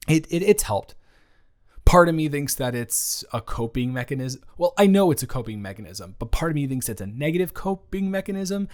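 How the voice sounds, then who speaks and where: A man playing an awkward, considerate ex-boyfriend in an improvised audio roleplay, speaking conversationally in a halting, stammering way. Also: fairly high pitch, lively intonation, a little mellow, close to an everyday voice. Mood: reflective, tentative. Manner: hesitant, halting, stammering, with nervous chuckles. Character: awkward, considerate young ex-boyfriend, nervously teasing.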